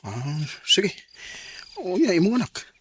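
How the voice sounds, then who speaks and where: An actor playing an agreeable, sheepish husband in a radio drama, with a Visayan Filipino accent. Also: male voice, sits high, very animated, takes it slowly, breathy edge, exaggerated voice. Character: easygoing husband, agreeable and sheepish when sober.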